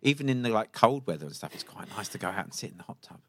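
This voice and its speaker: A man's voice: lowish voice, animated, very fast, a bit bright, no room sound. A man co-hosting a podcast, interviewing a guest casually with playful asides and lots of ums. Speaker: podcast co-host.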